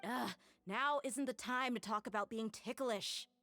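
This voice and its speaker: A woman's voice: a little high, measured pace, bright, forceful tone, no echo, soft background music. A woman playing a brash, good-hearted young mercenary in an anime game, with a blunt, casual, swaggering delivery. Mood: flustered.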